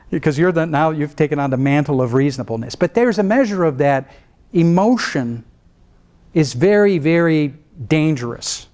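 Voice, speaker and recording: Male trial lawyer, law school lecture, middle-aged, fairly high voice, lively swings between low and raised. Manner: animated, mimics other lawyers with rising pitch, then drops low to show restraint.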